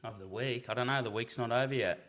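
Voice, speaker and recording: A man's voice: medium pitch, fast, a bit bright. A man chatting as a podcast guest, dry and teasing, answering in short laconic lines. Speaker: guest, host's husband. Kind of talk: casual chat.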